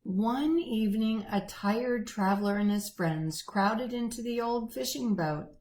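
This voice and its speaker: A woman reading a picture book aloud to children, gently and expressively. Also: mid-range voice. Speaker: church children's storyteller.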